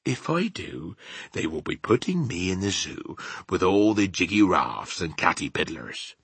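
A man voicing a big, gentle but stubborn storybook giant who talks in muddled grammar and invented words. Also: low voice, very animated, unhurried, slightly rough, no room sound, exaggerated voice. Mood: firm, wary. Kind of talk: children's storybook character reading.

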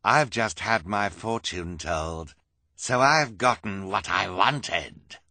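A gloating storybook villain, acted by a man, announcing like a showman. He draws words out slowly in a forceful, bright voice with dramatic swings. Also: deep voice, expressive, no room sound, full character voice. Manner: slow, drawn-out announcing.

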